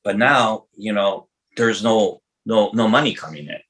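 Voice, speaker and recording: Low-voiced middle-aged man, casual podcast host. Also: measured pace, a bit bright, poor audio. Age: 50s. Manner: informal, slangy, repeats words as he goes. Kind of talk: casual, rambling interview chat.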